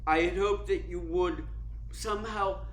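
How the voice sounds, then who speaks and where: An actor playing a suave, cynical and domineering theater critic in a radio play, speaking in a cold, precise, imperious way. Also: male voice, high-pitched, steady tone, unhurried. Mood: cold, commanding. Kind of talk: dramatic radio play acting. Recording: roomy echo with clear background noise.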